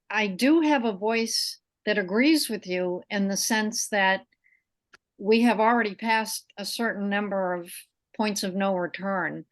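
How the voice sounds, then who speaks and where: Earnest woman host reflecting. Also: mid-range voice. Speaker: interview host. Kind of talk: conversational interview.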